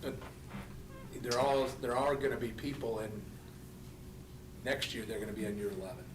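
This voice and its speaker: A man's voice: medium pitch, normal speed, roomy sound, far from the mic, noisy background. A man on a school board, thinking aloud hesitantly as he raises his concerns. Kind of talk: public meeting discussion. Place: school board meeting room.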